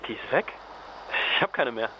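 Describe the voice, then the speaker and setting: An actor playing a weary, worried journalist husband in a naturalistic radio drama, hesitant and reluctant. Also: male voice, medium pitch, expressive, normal speed, close to an everyday voice. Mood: weary, reassuring. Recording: dull lo-fi link, clearly noisy background.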